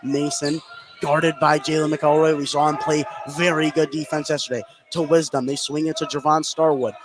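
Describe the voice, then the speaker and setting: A man's voice: on the high side, flat delivery, moderate pace. A man doing live play-by-play basketball commentary in a gym, his delivery swelling as the action heats up. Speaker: play-by-play announcer. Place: indoor high school basketball gym. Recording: clearly noisy gym ambience. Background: gym noise.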